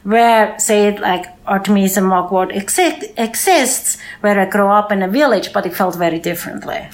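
A woman's voice: medium pitch, normal speed. Swiss-accented woman, searching for words. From Switzerland. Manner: searching for words, non-native phrasing. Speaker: choreographer. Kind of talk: conversational interview.

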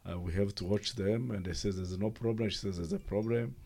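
A middle-aged man from Rwanda with a Rwandan accent, a non-native English speaker, telling his own story haltingly in an interview. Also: fairly low pitch, fairly even intonation, dark tone. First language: not English. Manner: halting, pauses often. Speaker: interviewee, former refugee. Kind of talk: personal account in an interview.

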